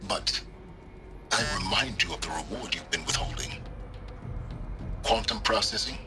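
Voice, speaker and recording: An enigmatic, calculating outcast scholar talks in a measured, wry way, pausing deliberately. His voice sits on the low side, with a loud game score running behind it. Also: over loud music.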